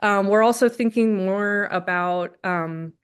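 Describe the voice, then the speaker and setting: Woman presenter, casual and chatty, mid pitch, webinar call. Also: measured pace, smooth. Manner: casual, filler-heavy with many likes and ums. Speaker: learning lab staff presenting. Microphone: video call.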